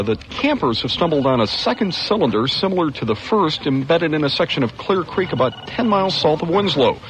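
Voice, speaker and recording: An actor playing a radio news anchor, reading bulletins briskly. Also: male voice, mid-range voice, a little hiss or hum, lightly acted, poor audio.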